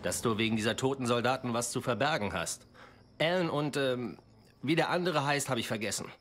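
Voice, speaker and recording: A man's voice: medium pitch, a little fast, a bit bright, slight background noise, close to an everyday voice. A man playing a pushy, menacing soldier in a dubbed war game, speaking in an insinuating, pointed way. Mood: accusing. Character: pushy, menacing male soldier confronting a comrade.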